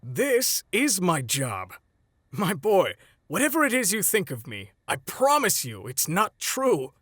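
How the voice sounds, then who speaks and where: A man performs an earnest older hero for a comic dub, fatherly and pleading. His delivery swings widely in pitch, on the higher side for a man. The sound is dry and close. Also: huge pitch swings, a bit bright, dry recording, heavily acted. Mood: pleading, insistent. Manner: earnest, dramatic, measured pleading. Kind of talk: fan comic dub voice acting.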